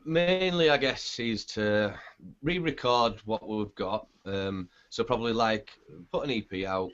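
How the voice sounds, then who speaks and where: Male singer, low scratchy voice, relaxed rambling chat, dull lo-fi recording. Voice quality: scratchy, raspy voice. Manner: laid-back, rambling, swears casually. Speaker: band singer. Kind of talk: casual interview chat. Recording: dull lo-fi sound.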